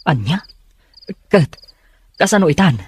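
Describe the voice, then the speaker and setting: A man's voice: a little high, expressive, measured pace, slightly rough, heavily acted. A man playing a skeptical, questioning young man in an Ilocano radio drama. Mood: surprised. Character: skeptical, inquisitive young city boarding-house friend.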